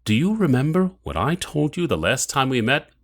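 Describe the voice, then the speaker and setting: Cold audio drama villain: formal, measured, mocking, with widely swinging intonation. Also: male voice, mid-range voice, very animated, a bit bright. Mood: cold menace. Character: menacing, cold, mocking villain, adult man.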